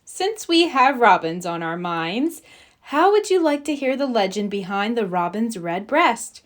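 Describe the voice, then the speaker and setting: A woman voicing a calm, devout grandmother in a storybook, patiently explaining and reassuring. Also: fairly high pitch, unhurried. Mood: gentle, devout. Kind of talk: storybook read-aloud character voice.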